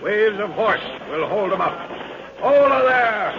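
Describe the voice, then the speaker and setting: An actor playing an older, fatherly war commander, bold and gruffly affectionate, with a gravelly voice in old-time radio adventure style. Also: sits high, expressive, unhurried. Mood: commanding. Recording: muffled, noisy old radio recording.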